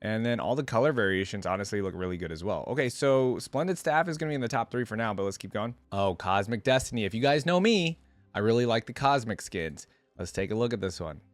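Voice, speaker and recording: A man's voice: on the low side, animated, fast talker, no echo. A man hosting a reaction video, giving his opinions in casual, conversational commentary.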